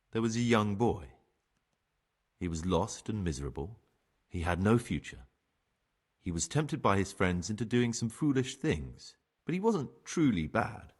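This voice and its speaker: An actor playing a remorseful ex-bandit turned novice monk in a game cutscene, husky, measured and persuasive. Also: male voice, lowish voice, exaggerated voice. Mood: measured storytelling. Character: remorseful young ex-bandit novice monk, sly pragmatic bargainer. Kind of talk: dramatic game cutscene acting.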